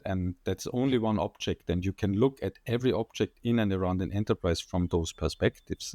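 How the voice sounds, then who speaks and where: A man's voice: on the low side, a little mellow, no room sound, clean studio sound. A man with an Austrian accent, a guest on a podcast interview, explaining ideas with plenty of fillers and the odd joke, speaking into a condenser microphone. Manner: explanatory, joking, many fillers. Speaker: guest enterprise architect.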